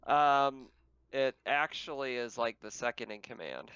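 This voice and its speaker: A man's voice: medium pitch, measured pace, a bit bright, smooth, dry recording, lo-fi sound. A man running an online tabletop game as game master, narrating casually and often chuckling as he talks. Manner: chuckles often while talking. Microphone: online voice call.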